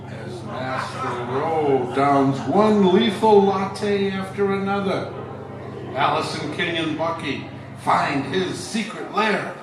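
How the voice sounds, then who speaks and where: A vintage radio announcer, played by a man, showy and mock-serious, speaks slowly in a high voice. Heavy echo surrounds him and music plays behind. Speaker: announcer, narrator. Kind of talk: 1940s radio announcing parody. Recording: echoey, distant, with music behind.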